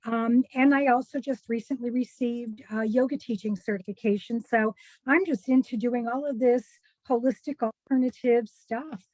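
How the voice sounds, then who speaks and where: A woman with a mellow, slightly high voice talks casually on a video call, pausing on fillers. Her audio is dull and lo-fi. The sound is dry. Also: steady tone, dry recording. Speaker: guest.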